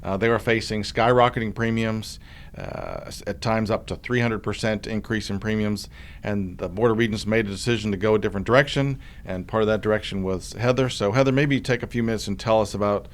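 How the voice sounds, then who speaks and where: A man hosting a podcast interview, talking in a relaxed way with many uh and um fillers. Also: fairly low pitch, brisk. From Oklahoma. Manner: relaxed, filler-heavy delivery with frequent uh and um. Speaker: podcast host, insurance commissioner.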